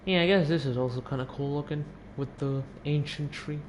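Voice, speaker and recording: A man's voice: fairly high pitch, fairly quick, a little hiss or hum. A teenage young man giving casual, offhand gameplay commentary, muttering and sometimes sweary. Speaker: gamer, video maker. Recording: game music behind the voice.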